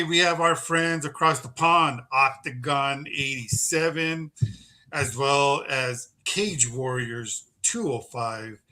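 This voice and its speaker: Casual fight-podcast co-host, man, fairly high voice, easygoing and loose. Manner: loud, laid-back, laughs often, says bro.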